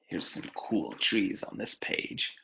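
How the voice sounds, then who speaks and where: A man reads a children's book aloud in the manner of a schoolteacher. His pitch moves up and down in a lively way, and he takes his time, setting phrases apart with emphasis. The room adds an audible echo around his voice. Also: vocal fry, slight background noise. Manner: deliberate, emphatic phrasing with rhetorical questions for young listeners. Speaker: teacher reading a picture book aloud to students. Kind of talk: expressive read-aloud with conversational asides.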